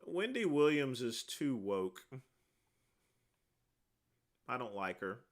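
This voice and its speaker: A man hosting a casual livestream, answering viewer questions one after another in a rambling way, with a deep voice. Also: big pitch swings, slow. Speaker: livestream host answering chat.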